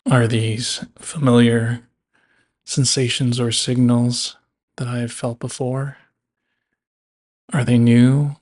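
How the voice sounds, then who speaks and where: A man guiding a body scan meditation in a measured way, leaving long pauses between phrases. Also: medium pitch, flat delivery, darkish tone. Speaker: meditation guide.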